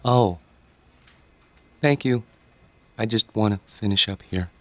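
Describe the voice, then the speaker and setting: An actor in a radio drama playing a shy, awkward loner, hesitant, stammering and politely apologetic. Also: male voice, on the low side, slow pace, fairly bright tone, no room sound. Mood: shy, flustered.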